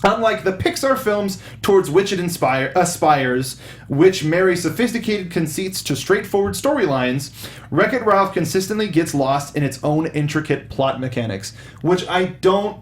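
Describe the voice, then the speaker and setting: A man's voice: sits high, expressive, normal speed, reverberant. A man hosting a casual movie review discussion, talking like an enthusiastic fan. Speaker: show host.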